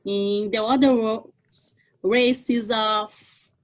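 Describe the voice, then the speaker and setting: A woman from Brazil, a native Portuguese speaker with a Brazilian accent, giving a webinar talk and pausing often to search for words. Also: a little high, steady tone. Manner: halting, searching for words with fillers. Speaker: guest speaker. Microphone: video call.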